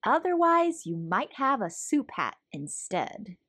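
Lady reading a kids picture book, very up and down expressive, slightly husky breathy voice. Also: on the high side, slow pace. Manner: animated, clearly paced storytelling for young children. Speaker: children's read-aloud host.

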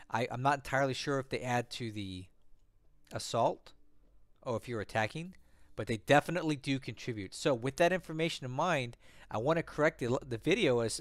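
A man's voice: mid-range voice, dry recording, studio quality. A man with a slightly stuffy voice from a cold, hosting a casual screen-share tutorial and explaining as he goes, often checking in with "right?" and "okay?". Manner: conversational, repeats "right?" and "okay?", thinks aloud. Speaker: strategy game tutorial host.

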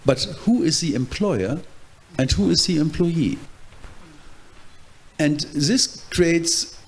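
Panelist, male, 50s, English; halting, earnest, handheld mic in a conference hall. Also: fairly high pitch, animated. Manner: hesitant, many fillers and restarts, earnest explaining. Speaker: trade union panelist. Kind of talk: panel discussion answer.

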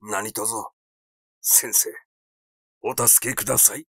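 A man's voice: lowish voice, steady tone, slow pace, pushed and bright, slightly rough, no room sound, full character voice. A man voicing an aging master swordsman in an audiobook, vain yet meekly pleading, with stiffly polite, old-fashioned speech. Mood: humble pleading. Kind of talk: audiobook character reading.

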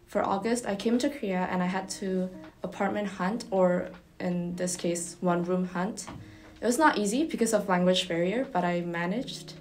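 A young woman from Indonesia, a university student, speaking calmly and softly to camera. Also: lowish voice, normal speed. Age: young adult. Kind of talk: interview to camera. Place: indoor university building lobby. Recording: faint music under the voice.

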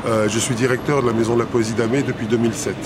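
Fifties guy musing slowly in a street interview, lots of ums, city noise behind. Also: medium pitch, level tone. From Italy and Belgium. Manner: thoughtful, many hesitations and repeated words. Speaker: poet and publisher. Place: city square outdoors, traffic nearby.

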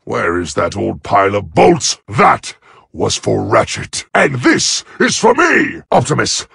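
An actor playing a cocky, gruff, battle-loving giant robot soldier in a video game, raspy and gravelly, with a swaggering, wisecracking delivery. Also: male voice, on the low side, huge pitch swings, slow pace, a bit bright, no room sound, clean studio sound, heavily acted. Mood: swaggering, menacing.

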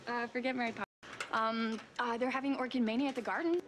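A young American woman playing an earnest, principled high school girl, sweet but firm, in naturalistic TV drama dialogue. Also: fairly even intonation, fast talker, music in the background, lightly acted. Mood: eager. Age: young adult. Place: TV drama set, indoors.

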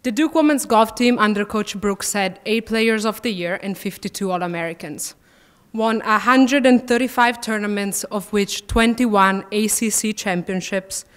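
A young woman in her twenties with an Italian accent, a student athlete giving a prepared speech at a podium, warm and gently self-deprecating. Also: mid-range voice, clean tone. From Italy. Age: 20s. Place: large banquet hall, at a podium. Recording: reverberant banquet hall.

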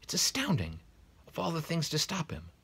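Man voicing a prim, breathy older witch professor. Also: on the high side, wildly swooping pitch, moderate pace, pitched above the performer's own voice, full character voice. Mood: shocked. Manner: prim, sharp, insistent.

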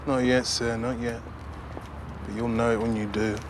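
An actor playing a blunt, sceptical, streetwise undercover police sergeant in a naturalistic crime drama, using slangy, challenging talk. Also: male voice, medium pitch, flat delivery, moderate pace, heavy background noise, natural voice. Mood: blunt, wary.

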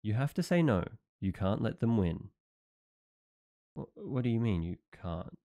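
A man voicing a loyal, dim-witted young knight in an audio roleplay, earnest and naive, over soft music. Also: on the low side, sing-song, a little slow, lo-fi sound. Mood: outraged. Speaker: voice actor.

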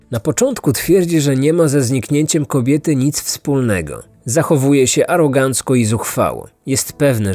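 A man narrating a scripted true-crime story as a podcast host, with dramatic, measured phrasing. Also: mid-range voice, a little mellow, no room sound. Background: music.

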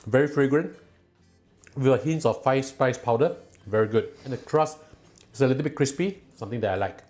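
A middle-aged man hosting a cooking show, explaining each step calmly and patiently in a home kitchen. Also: mid-range voice, fairly quick, faint music behind. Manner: calm, patient and instructive, enthusiastic when tasting.

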